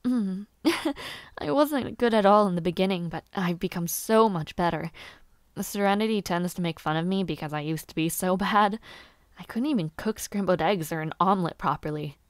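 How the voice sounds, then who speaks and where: Woman acting a gentle young noblewoman, low-pitched and close. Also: normal speed, dry recording, close to an everyday voice. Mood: bashful, hopeful. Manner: soft-spoken, chatty, polite, slightly bashful, giggly. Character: gentle young noblewoman, aspiring knight, shy and eager. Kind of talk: intimate audio roleplay, one-sided conversation.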